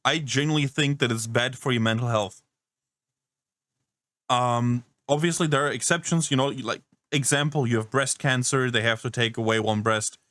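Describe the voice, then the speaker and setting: A man's voice: mid-range voice, fairly even intonation, moderate pace, a bit bright, dry recording. A man doing casual reaction commentary, incredulous and rambling, swearing often. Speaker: reaction streamer.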